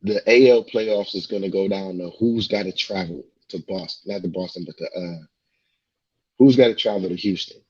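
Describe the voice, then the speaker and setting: A man losing his voice, rough and husky, with a fairly low pitch. He presses his points by saying them twice and reads out scores casually. The sound is a little dull and lo-fi. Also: moderate pace, darkish tone. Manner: emphatic, repeats points. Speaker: sports show co-host. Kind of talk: casual sports talk and score updates.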